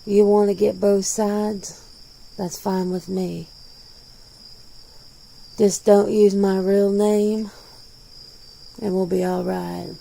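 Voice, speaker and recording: A woman's voice: mid-range voice, level tone, slow, creaky, long pauses, mellow. A woman voicing a jaded, defensive werewolf in their forties in an ASMR interview roleplay, talking bluntly and casually. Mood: guarded, exasperated. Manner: blunt, casual, swearing, conversational.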